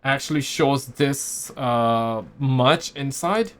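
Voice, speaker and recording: A man from Montreal doing casual, excitable reaction commentary, talking over clips in slang. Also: medium pitch, slow, background noise. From Montreal, Canada. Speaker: reaction streamer.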